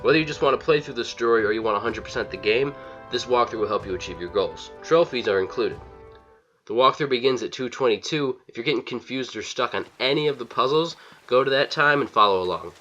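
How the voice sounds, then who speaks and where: A man's voice: medium pitch, lively intonation, fast, sharp tone. A man narrating a video game walkthrough as its host, giving plain step-by-step directions. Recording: slightly dull lo-fi voice-over. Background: faint game music.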